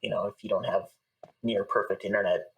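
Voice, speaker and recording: A man's voice: lowish voice, fairly even intonation, fairly quick, creaky, a bit bright, recorded from a distance, a little hiss or hum, poor audio. A man chatting casually as an interview guest, with hesitant, thoughtful phrasing. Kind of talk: casual chat.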